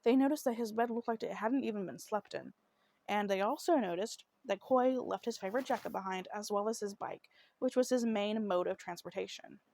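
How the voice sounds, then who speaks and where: Apologetic woman with a slightly rough voice. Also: mid-range voice, fairly quick, a little mellow. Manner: apologetic, trips over words, many fillers. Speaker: true crime podcast host. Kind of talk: solo case narration from notes.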